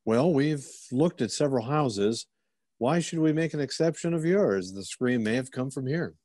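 A man's voice: mid-range voice, expressive, normal speed, a little mellow, no room sound, studio quality, lightly acted. A man playing a courteous, inquisitive police officer who muses and probes politely, in old-time radio theater style. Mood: businesslike. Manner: polite, musing, probing.

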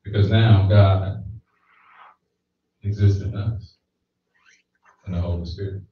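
A man's voice: sits low, fairly even intonation, slow, a little hiss or hum. A man in his thirties giving a sermon in a small church hall, holding a handheld mic and often checking in with "right?". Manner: conversational preaching with frequent "right?" checks. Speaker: preacher. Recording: echoey, distant, slightly dull room recording.

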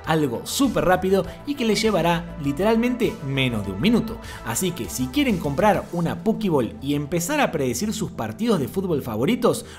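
A woman hosting a crypto channel, reading a smooth scripted tutorial voice-over in an even promotional delivery. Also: medium pitch.

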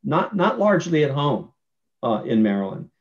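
A man's voice: medium pitch, sing-song, normal speed. A man in his forties on a video call at a virtual town hall, explaining in an earnest way with many fillers and restarts. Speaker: panelist speaking for nursing homes. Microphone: video call webcam. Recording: muffled video call audio.

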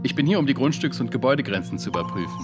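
A man's voice: mid-range voice, expressive, racing, loud music behind the voice, close to an everyday voice. A man playing a formal, officious building surveyor in a children's audio drama. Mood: businesslike.

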